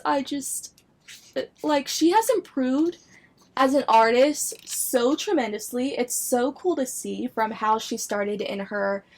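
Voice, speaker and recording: A woman in her twenties chatting casually and enthusiastically to viewers like a gushing fan sharing news. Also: high-pitched. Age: 20s. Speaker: anime and book video creator.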